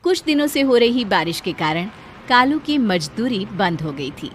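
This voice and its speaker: A woman's voice: medium pitch, very animated, moderate pace, a little hiss or hum. A woman storyteller reading scripted narration for a moral story.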